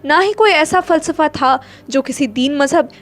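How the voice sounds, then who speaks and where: A woman's voice: high-pitched, a little slow. A woman narrator reading a literary short story in a dramatic storytelling style. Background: faint music.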